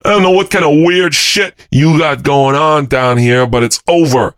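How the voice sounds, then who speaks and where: A gruff, foul-mouthed building caretaker who barks his threats in a raised voice, voiced by a man telling a story. He is blunt and impatient. The recording is dry and close. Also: on the high side, moderate pace, a bit bright, no echo. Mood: angry barking. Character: fat, angry middle-aged apartment caretaker with shotgun.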